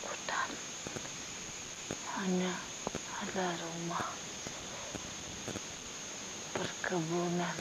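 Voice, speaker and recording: A performer voicing a weary ghost in an improvised possession, speaking in breathy, halting murmured fragments that often drop to a whisper. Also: medium pitch, completely flat delivery. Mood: faint, halting. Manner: halting, murmured fragments with long drawn-out words. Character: weary ghost of a 39-year-old Dutch-Chinese settler. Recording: noisy outdoor field recording, faint distant voice.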